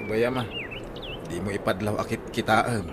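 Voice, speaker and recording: An actor playing a sly, evasive village husband in an Ilocano comedy radio drama. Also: male voice, lowish voice, normal speed, close to an everyday voice. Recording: noisy track with animal sounds.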